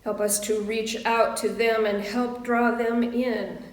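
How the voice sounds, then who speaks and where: A woman minister giving a sermon and prayer in measured, deliberate short phrases with pauses. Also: on the high side, little pitch movement. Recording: roomy echo, distant microphone.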